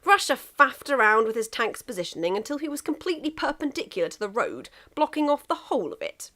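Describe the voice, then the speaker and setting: A woman narrating a comic piece of fan fiction in an expressive, emphatic storytelling manner. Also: high-pitched, fast talker.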